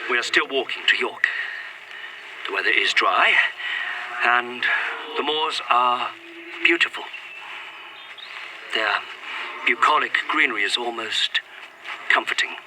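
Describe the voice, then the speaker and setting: A distant, noisy field recording carries an actor as a melancholy Victorian English gentleman. He is a man of formal, earnest, old-fashioned phrasing and middling pitch, as heard in a found-footage horror drama. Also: lightly acted. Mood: brooding, weary, then wistful, uneasy. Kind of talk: found-footage audio drama, recorded diary monologue and dialogue.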